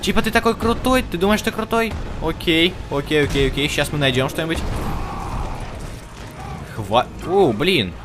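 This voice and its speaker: Excitable higher-voiced man playing a video game. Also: normal speed, pauses often. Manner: excitable, urging game characters on, slangy. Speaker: gaming channel host. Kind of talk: casual let's-play commentary. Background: game music and effects.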